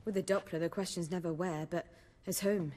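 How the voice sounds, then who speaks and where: An actress playing a poised young bard in a video game, speaking articulately with wry, theatrical phrasing. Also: female voice, fairly low pitch, fairly even intonation, a little fast, darkish tone, natural voice. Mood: pensive. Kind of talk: video game cutscene dialogue. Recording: long hall-like reverb.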